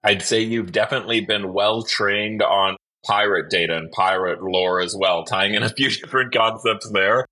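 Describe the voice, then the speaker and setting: A man hosting a podcast interview in a conversational, measured and thoughtful way. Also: fairly low pitch, bright, forceful tone.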